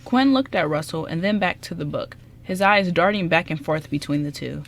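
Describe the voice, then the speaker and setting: A woman's voice: lowish voice, normal speed. A woman reading scripted narration for an amateur radio drama as its narrator.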